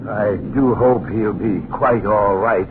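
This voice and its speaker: An actor playing a nervous, evasive house rental agent, officious and stammering, repeating himself, in vintage radio drama style. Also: male voice, fairly low pitch, takes it slowly. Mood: nervous, hurried. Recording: tinny, noisy old radio broadcast.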